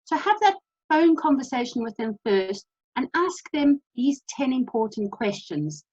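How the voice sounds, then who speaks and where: A woman's voice: high voice, sing-song, darkish tone, slightly rough, highs cut off. South African woman giving a practical talk. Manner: brisk, instructive and practical. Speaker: presenter. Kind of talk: presentation talk.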